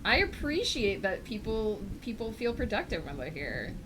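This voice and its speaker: A woman livestreamer in casual chat with her viewers, rambling thoughtfully with many fillers and brightening up to greet people in chat. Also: mid-range voice, huge pitch swings, moderate pace, noisy background. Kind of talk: casual chat with a live audience.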